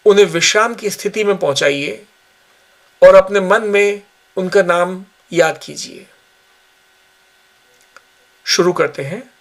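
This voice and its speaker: A man giving guided yoga nidra meditation instructions in measured short phrases with pauses. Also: sits high, vocal fry, a bit bright.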